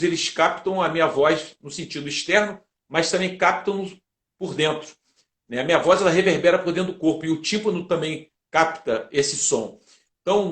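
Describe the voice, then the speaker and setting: A Brazilian man answering as an interview guest, explaining methodically like a teacher and building his points in lists. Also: sits high. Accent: Brazilian Portuguese. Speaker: guest, public speaking teacher and lawyer. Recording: roomy, echoing sound.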